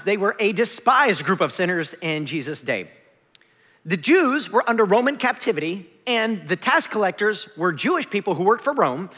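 A man in his forties preaching a conversational sermon, animated and self-deprecating. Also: sits high. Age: 40s. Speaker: pastor. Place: large church hall. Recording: long hall reverb.

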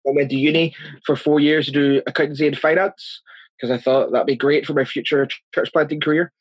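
A man's voice: on the high side, steady tone, brisk, dry recording, poor audio. A man in his thirties with a Northern Irish accent, answering interview questions as a church planter, earnest and chatty, with frequent restarts. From Northern Ireland.

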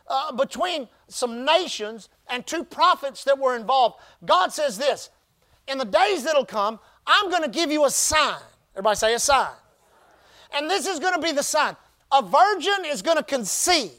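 High voiced guy preaching, call and response, stretches out big lines. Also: fairly bright tone. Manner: call-and-response preaching, emphatic drawn-out phrases. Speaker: pastor. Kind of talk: sermon.

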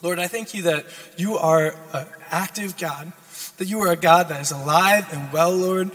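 A hoarse young man preaching a sermon as a guest speaker, repeating his key lines and building in intensity. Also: high voice, moderate pace, a bit bright. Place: church sanctuary. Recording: long church reverb.

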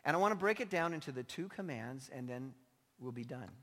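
Male pastor, sharp forceful tone, slightly strained voice, informal emphatic preaching, long hall reverb. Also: medium pitch, animated, a little fast. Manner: emphatic, informal and conversational, with repeated phrases. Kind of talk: sermon. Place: large indoor church hall.

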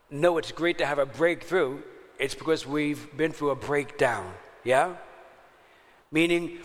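A man's voice: a little high, a bit bright, long reverb tail. A man, preaching a sermon in a chatty way and often checking in with his listeners. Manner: conversational, checks in with the audience often. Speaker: pastor.

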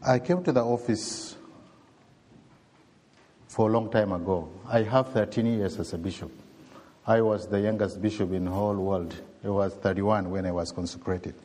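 Sudanese bishop in his 40s giving a testimony, low voice, halting careful english, long echo like a big church. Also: fairly even intonation, mellow. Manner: slow, halting, heartfelt non-native English.

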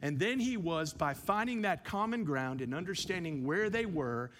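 A man who is a pastor, giving an evening church teaching with a relaxed, self-deprecating manner, many fillers. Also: fairly high pitch, normal speed, a little hiss or hum. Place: church sanctuary. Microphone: handheld microphone.

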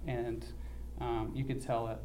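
Young man, earnest and halting, mellow dark tone, light hiss behind him; plain church-talk delivery. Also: mid-range voice, little pitch movement. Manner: earnest, halting with many ums. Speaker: mission trip speaker. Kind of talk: church mission report, casual.

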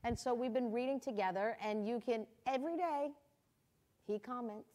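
A woman's voice: a little high, moderate pace. Woman, church host, encouraging, laughs easily, conversational. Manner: warm, laughs often, encouraging. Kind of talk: casual church testimony chat.

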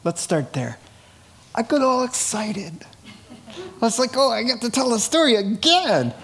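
A man's voice: extremely high pitch, very animated, measured pace, a bit bright, slight background noise. A middle-aged man preaching a sermon in a conversational, informal way, animatedly telling stories and acting out dialogue. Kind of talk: sermon, reading scripture and retelling it in colloquial, dramatized asides.

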